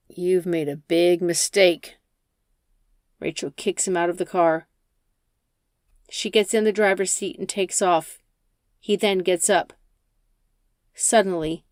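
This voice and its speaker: A woman's voice: on the low side, darkish tone, dry recording, clean studio sound. A woman reading a scripted story as an audiobook narrator.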